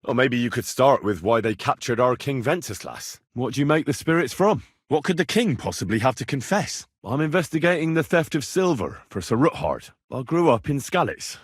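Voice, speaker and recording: A British actor playing an earnest, curious young blacksmith's son in a medieval video game, speaking in a plain, inquisitive and informal way. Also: male voice, medium pitch, sing-song, normal speed, hardly any pauses, breathy edge, no echo, clean studio sound. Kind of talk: game dialogue lines.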